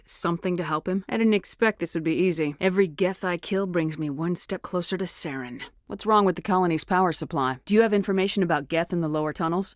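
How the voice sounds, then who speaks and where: A woman's voice: on the low side, no echo, studio quality, lightly acted. An actress playing a tough, resolute woman starship commander in a video game, speaking bluntly and with authority. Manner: blunt, authoritative, clipped questioning.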